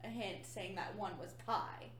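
A woman's voice: mid-range voice, unhurried, a bit bright, far from the mic. A woman co-hosting a podcast in casual chat, teasing and playful. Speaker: podcast co-host. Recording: echoey room, off-mic, faint noise.

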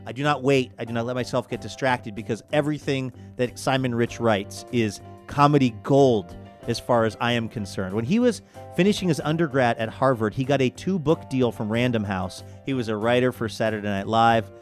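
A man's voice: mid-range voice, fairly quick, soft background music. A man hosting a radio show, talking in a conversational, enthusiastic way with wry asides. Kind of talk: upbeat show promo and interview talk.

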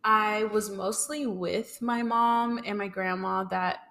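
A woman's voice: medium pitch, takes it slowly, gravelly, fairly bright tone. A young woman, speaking casually and tentatively as a podcast guest, thinking as she goes. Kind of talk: casual personal conversation.

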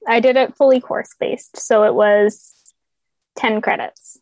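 A young woman in her twenties, a podcast guest, talking casually in a hesitant way with many fillers and soft laughs. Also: a little high, fairly even intonation, measured pace, gravelly, dry recording, rough recording. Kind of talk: casual interview chat.

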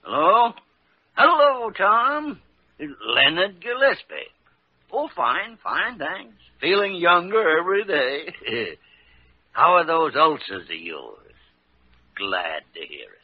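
An older actor playing a gruff, sly senior doctor who chuckles often, in 1940s radio acting. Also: male voice, on the high side, sing-song, slow, no echo, heavily acted. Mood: jovial. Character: gruff wise old senior doctor man. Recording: muffled old radio broadcast.

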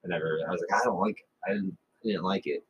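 A man's voice: sits low, racing, husky, highs cut off. A man in his thirties co-hosting a casual podcast, talking in a rambling way with many restarts and self-corrections. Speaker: podcast co-host. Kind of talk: casual chat.

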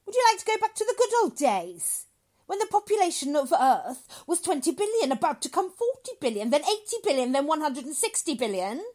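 A woman's voice: high-pitched, sing-song, moderate pace, darkish tone, pitched above the performer's own voice. A woman voicing a severe, pompous old male doctor who lectures emphatically in a dramatic story reading. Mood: severe, lecturing. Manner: emphatic, declamatory.

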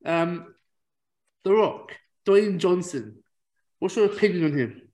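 A man's voice: high-pitched, expressive, takes it slowly, darkish tone. A man hosting a podcast, asking questions in a casual, hesitant and teasing way. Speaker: podcast host. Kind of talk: casual interview chat.

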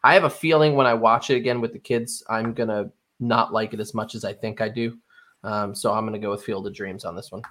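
A man's voice: lowish voice, fairly even intonation, a little fast, a bit bright. A man hosting a podcast, debating films casually with an opinionated, conversational delivery. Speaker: podcast host.

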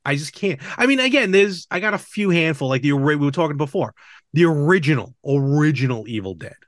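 A man's voice: fairly high pitch, expressive, fast, dry recording, studio quality. A middle-aged man hosting a casual movie podcast, chatting in a rambling way with conversational asides. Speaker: podcast host.

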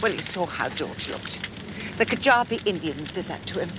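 A woman's voice: fairly low pitch, animated, normal speed, natural voice. Brief: actress as a grave aristocratic lady, confessional, noisy TV-clip audio. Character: guilt-ridden aristocratic lady guarding a family secret. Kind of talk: 1980s television drama. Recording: noisy clip from a television soundtrack.

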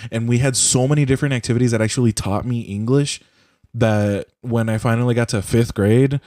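Young man, dark mellow voice, profane rambling. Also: medium pitch, steady tone, brisk, no echo, studio quality. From Puerto Rico. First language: Spanish. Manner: rambling, profane, says "bro" and "like" often. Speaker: podcast host. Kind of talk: casual chat over a voice call. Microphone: headset or desk mic on a voice call.

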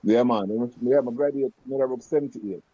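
A man in his fifties from Jamaica with a Jamaican accent, recalling his childhood in a casual, halting way full of restarts. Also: medium pitch, mellow, husky, no echo, lo-fi sound. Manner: patois-laced, halting, many restarts and fillers. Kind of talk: casual reminiscing chat.

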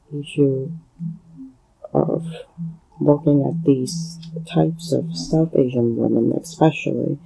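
Hong Kong-accented man, solo podcaster, gravelly, halting delivery, flat pitch. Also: low-quality recording. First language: Cantonese. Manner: halting, many fillers and pauses. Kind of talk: solo spoken commentary.

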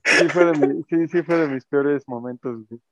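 A man's voice: on the high side, moderate pace, background noise. A man with a Mexican accent co-hosting a podcast, defending his opinions in slangy casual chat and laughing at himself. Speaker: podcast co-host. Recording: dull lo-fi sound.

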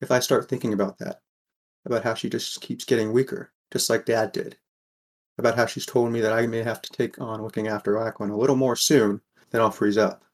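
A man's voice: fairly low pitch, flat delivery, brisk, close to an everyday voice. A man playing a weary, wry sixteen-year-old boy from a poor mining town, narrating a fan audio drama in the first person. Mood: brooding, matter-of-fact.

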